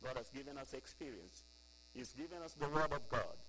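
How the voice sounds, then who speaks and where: Man preaching with bright emphasis. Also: medium pitch, lively intonation, a little fast, a little hiss or hum, rough recording. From Kenya. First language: Luo. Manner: emphatic, repeats key phrases, swings from soft to loud. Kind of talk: sermon.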